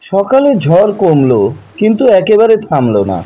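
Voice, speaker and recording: A man reads a literary story in a measured, expressive, dramatic narration, drawing out his phrases. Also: sits high, dull audio. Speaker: audiobook narrator. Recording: music behind the voice.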